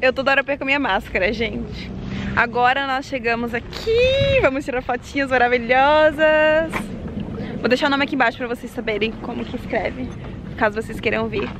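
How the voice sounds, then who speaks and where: A woman's voice: high voice, normal speed. A woman in her twenties with a Brazilian Portuguese accent, chatting casually to viewers as a travel vlogger on a handheld camera. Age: 20s. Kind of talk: casual vlog chat to viewers. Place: outdoors by desert roadsides and trails. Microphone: camera at arm's length. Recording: outdoor ambience noise, handheld camera.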